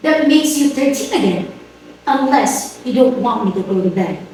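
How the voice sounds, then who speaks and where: Woman acting a high-voiced, flustered society hostess, very echoey. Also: expressive, moderate pace, a little mellow. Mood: exasperated. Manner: theatrical, exclamatory, indignant. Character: flustered, imperious society hostess and mother, melodramatically exasperated. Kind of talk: amateur dramatic reading of a comic stage play. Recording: very echoey room, distant mic, faint noise.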